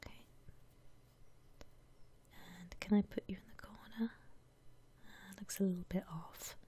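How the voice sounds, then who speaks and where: A woman whispering softly and breathily in a gentle, musing way as she gives ASMR commentary on a game. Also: mid-range voice, fairly even intonation. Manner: gentle, unhurried, musing aloud. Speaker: ASMR streamer playing a house-decorating game.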